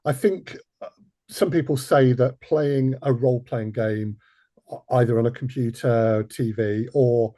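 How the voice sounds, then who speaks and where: A man's voice: mid-range voice, warm tone, husky, no echo. A man hosting a panel discussion, phrasing his points tentatively and hesitantly as he works through them. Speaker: host.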